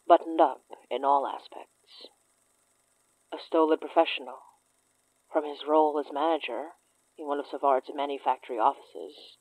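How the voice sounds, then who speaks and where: A performer playing a solemn chronicler in a horror audio drama, reading a dossier in a measured, formal, deliberate way. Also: mid-range voice, darkish tone, dry recording, natural voice, poor audio.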